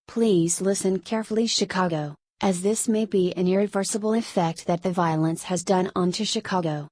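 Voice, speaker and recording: Female station announcer played by a woman for a fictional emergency broadcast: formal, measured news read, completely dry recording. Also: lowish voice, a little mellow, no echo, close to an everyday voice. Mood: serious. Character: female radio station newsreader reporting on city violence. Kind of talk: scripted emergency news bulletin.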